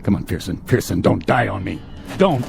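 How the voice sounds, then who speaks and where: An actor playing an earnest, protective spaceship captain in a radio drama, agitated and commanding. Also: male voice, on the low side, expressive, normal speed, gravelly. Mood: urgent, worried. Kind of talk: dramatic radio play acting. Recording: radio drama with background music. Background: music.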